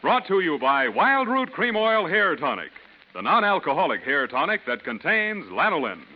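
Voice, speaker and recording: A man with an American accent announcing and selling like a 1940s radio pitchman, with emphatic delivery. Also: sing-song, normal speed. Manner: emphatic, salesmanlike. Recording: dull 1940s radio recording with music. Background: music.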